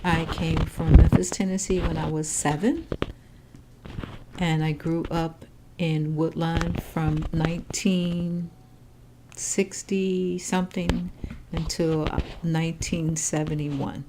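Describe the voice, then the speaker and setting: An older woman with a low voice talks steadily, matter-of-fact about her past. She is being interviewed at home by her granddaughter. A faint hiss sits under the recording. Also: slow. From Chicago, Illinois. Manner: calm, matter-of-fact storytelling. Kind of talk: oral history interview.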